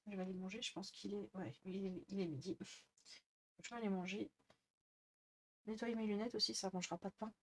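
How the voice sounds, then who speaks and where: A woman's voice: mid-range voice, darkish tone, slight background noise. A woman in her thirties vlogging casually from her desk at home, rambling with lots of fillers and restarts. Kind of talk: casual chatty vlog. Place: bedroom desk, indoors. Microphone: headset mic.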